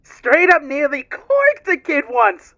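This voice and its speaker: A woman voices an upbeat young male rookie from a space game. The delivery is bright, animated and full of easy slang, boyish and naive. The recording is slightly dull. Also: high-pitched, slow, exaggerated voice. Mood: cheeky, eager. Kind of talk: dramatic fan dub of a video game.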